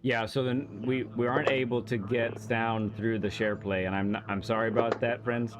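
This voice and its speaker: A man hosting a live racing game stream, coaching a guest with calm, encouraging feedback. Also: mid-range voice, moderate pace, dull audio. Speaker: host and driving coach.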